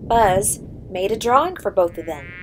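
A woman's voice: medium pitch, expressive, moderate pace. A woman narrating a scripted children's story as a storybook reader. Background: faint noise, sound effects.

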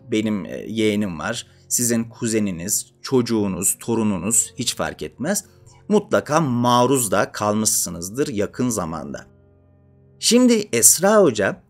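A young man hosting an educational video, explaining informally and addressing viewers directly. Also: medium pitch. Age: young adult. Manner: conversational, addresses viewers directly with rhetorical questions. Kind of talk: informal explanatory monologue to camera. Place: indoor studio with dark curtain backdrop.

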